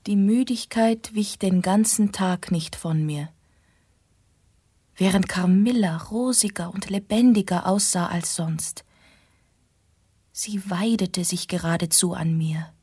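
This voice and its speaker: An actress playing a melancholy, ailing young gentlewoman who tells her gothic story in a radio drama. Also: mid-range voice, takes it slowly, husky, no room sound, clean studio sound. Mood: weary, haunted. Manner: hushed, languid delivery. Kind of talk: German radio play acting and first-person narration.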